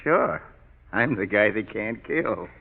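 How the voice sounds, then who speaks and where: An actor in a 1940s radio drama playing a cocky, boastful convict who talks tough slang, on a lo-fi old broadcast. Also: male voice, lowish voice, lively intonation, slow, gravelly, big-room sound, exaggerated voice. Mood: swaggering. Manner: swaggering, laughing, tough-guy slang.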